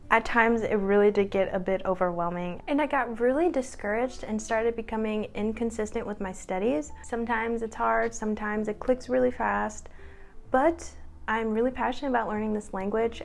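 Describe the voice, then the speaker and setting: A woman's voice: a little high, moderate pace, vocal fry. A young woman in her twenties talking casually to the camera at home, chatty and upbeat, laughing at herself now and then. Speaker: vlogger.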